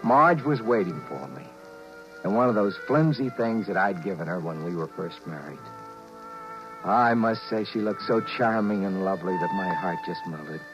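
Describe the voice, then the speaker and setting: Guilty husband, dull old radio sound. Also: male voice, low voice, lively intonation, music in the background. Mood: tender, guilty. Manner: halting, earnest, stammering in dialogue. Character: guilty, sheepish husband narrating his own marital misadventure. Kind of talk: 1950s radio drama acting, first-person narration. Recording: dull 1950s radio recording.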